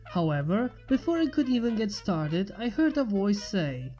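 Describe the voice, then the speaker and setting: A woman's voice: medium pitch, very animated, normal speed, warm tone, dull audio. A woman reading a first-person fan fiction story aloud as its narrator. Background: faint music.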